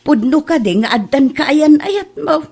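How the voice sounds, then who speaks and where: A woman's voice: sits high, animated, moderate pace, darkish tone. A woman playing a concerned, exasperated mother of a grown daughter in a comedy drama.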